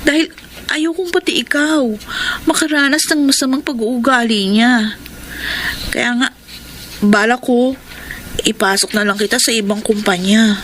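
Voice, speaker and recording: A worried, insistent friend. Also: female voice, on the high side, a little slow, long pauses, fairly bright tone, a little hiss or hum. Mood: concerned. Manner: concerned, insistent. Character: worried woman warning her friend about her brother. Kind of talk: classic radio drama acting.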